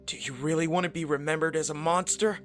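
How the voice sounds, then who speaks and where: A man playing a solemn, protective young demigod hero in an anime visual novel, in an earnest, deliberate way. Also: a little high, steady tone, close to an everyday voice. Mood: brooding. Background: background music.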